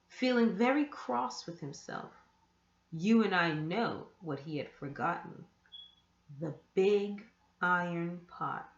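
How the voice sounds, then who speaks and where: A woman reading a children's story aloud with expressive, deliberate storytelling. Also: a little high. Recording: echoey room, dull muffled sound.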